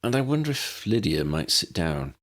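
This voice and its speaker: A man playing a wry, formal distinguished gentleman. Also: sits low, big pitch swings, moderate pace, exaggerated voice. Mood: courteous, assured. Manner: formal, dry, self-assured. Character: courteous, wry, distinguished older gentleman, unflappable.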